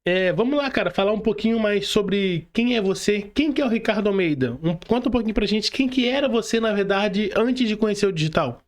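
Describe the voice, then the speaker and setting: A man's voice: high voice, animated, fairly quick, hardly any pauses. A man with a Brazilian accent hosting a conversational podcast interview, informal and slangy as he addresses guest and audience. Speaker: podcast host.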